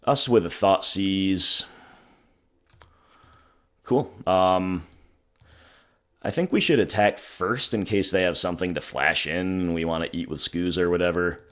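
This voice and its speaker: Hoarse man with a low voice, wry gameplay commentary, talks through his decisions. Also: measured pace, pauses often, a bit bright. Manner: thinking aloud, wry, self-mocking. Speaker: gaming channel host.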